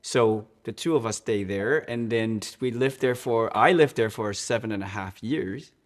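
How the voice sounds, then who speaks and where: Earnest man, church music director. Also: on the low side. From Hong Kong. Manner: earnest, restarts and repeats words, slows on key points. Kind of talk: interview, personal storytelling.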